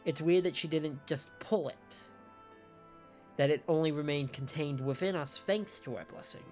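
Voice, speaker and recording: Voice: medium pitch, normal speed, a little mellow. A game streamer chats casually and analytically during play. The delivery is explanatory and conversational. The mic sounds a little lo-fi, with music faintly underneath. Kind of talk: casual live game commentary.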